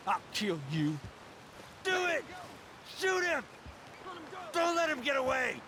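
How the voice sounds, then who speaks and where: Enraged pursuer for an action game; very high, wide-swinging, urgent voice. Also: male voice, big pitch swings, slow pace, very noisy, full character voice. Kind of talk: dramatic video game acting. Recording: loud music behind.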